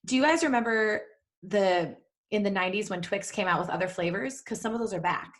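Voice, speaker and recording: A woman's voice: mid-range voice, brisk. Woman in casual podcast chat. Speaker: podcast co-host.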